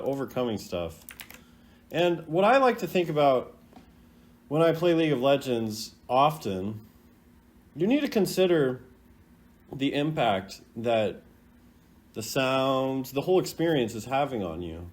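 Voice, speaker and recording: A man's voice: medium pitch, very animated, unhurried. A man hosting a video in casual unscripted commentary, rambling and hesitant in a laid-back way. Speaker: host ranking game voice lines.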